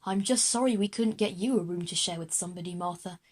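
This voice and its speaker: Woman as male headmaster, children's audio drama: formal, welcoming, announcing to pupils, slightly husky. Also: on the low side, fast, a little mellow, close to an everyday voice.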